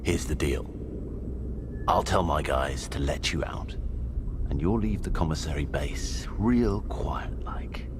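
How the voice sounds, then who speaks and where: An actor playing a gruff, wily gang boss and ex-soldier in a video game, talking slowly and with menace. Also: male voice, rumbling low, expressive, noisy background, heavily acted. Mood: businesslike. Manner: slow, deliberate, menacing. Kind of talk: video game character dialogue.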